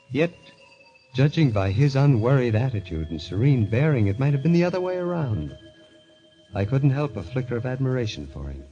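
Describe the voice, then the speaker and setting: An actor playing a bitter, haunted young gambler turned murderer, grave and intense, in old-time radio drama style. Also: male voice, fairly low pitch, dark tone, lightly acted. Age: young adult. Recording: muffled vintage radio recording, music behind.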